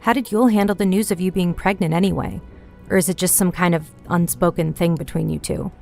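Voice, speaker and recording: Casting: actress as inquisitive female confidante in fantasy audio story, heavy vocal fry, teasing, music underneath. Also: lowish voice, fairly even intonation, a little fast, darkish tone. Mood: musing, inquisitive. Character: Helena, curious, teasing confidante and friend.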